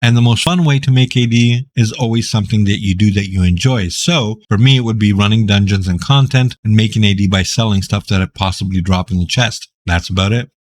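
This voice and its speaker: Laid-back raspy man from Greece. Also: fairly quick, hardly any pauses. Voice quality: deep, raspy. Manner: laid-back, joking, rambling. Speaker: gaming YouTuber. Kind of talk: casual Q&A reading viewer questions.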